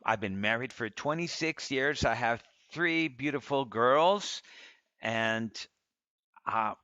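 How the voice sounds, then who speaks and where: A man's voice: medium pitch, pushed and bright, dry recording. A man in his fifties from Costa Rica co-hosting a podcast, talking in an earnest, motivational way and repeating phrases for emphasis. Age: 50s. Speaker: podcast co-host. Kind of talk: casual conversational podcast.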